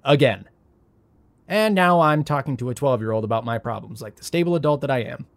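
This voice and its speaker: A man playing a frazzled, sarcastic young heir in an audio drama, with a wry, flustered, halting delivery. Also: medium pitch, animated, natural voice. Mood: self-pitying, exasperated. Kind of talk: audio drama acting, naturalistic.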